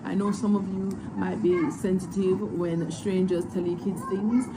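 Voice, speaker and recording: A woman's voice: mid-range voice, steady tone, normal speed, background noise. A woman in her thirties speaking as a mother, confident and direct, seated outdoors by a pool. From Jamaica. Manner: confident, direct, says "babes". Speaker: mother and vlogger. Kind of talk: casual vlog monologue.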